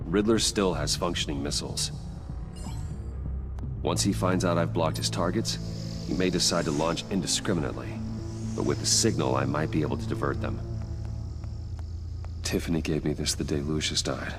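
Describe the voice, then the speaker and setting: An actor playing a brooding, serious vigilante in a video game, measured and grimly determined. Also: male voice, extremely low pitch, over music. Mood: grimly focused. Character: brooding male billionaire vigilante, adult, grimly determined and analytical.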